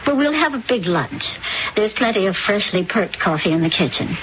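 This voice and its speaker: An actress playing a gracious stepmother in a vintage radio drama, measured and polite. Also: medium pitch, animated, natural voice. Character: poised stepmother, polite and conciliatory. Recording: dull old radio recording with faint hiss.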